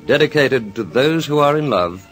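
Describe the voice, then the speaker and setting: A man's voice: mid-range voice, expressive, moderate pace, poor audio. A man narrating an old radio soap opera as a dramatic announcer, with a put-on American accent. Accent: American, put on by an Australian. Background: music.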